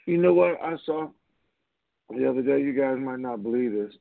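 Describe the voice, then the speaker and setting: A man's voice: medium pitch, lively intonation, normal speed, vocal fry. A man hosting a casual question-and-answer conference call, talking in a blunt, offhand, informal way over a phone line.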